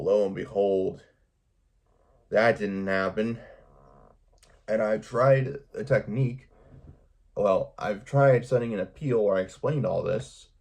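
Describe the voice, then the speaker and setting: A man with a gravelly, husky voice talking casually and haltingly in a vlog monologue, as a YouTuber would. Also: on the low side, darkish tone.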